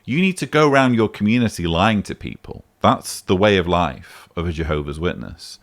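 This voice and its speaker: A man's voice: low voice, lively intonation, normal speed. A man hosting a vlog, answering a voicemail in emphatic, argumentative casual commentary. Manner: emphatic, argumentative, rising sharply on key points.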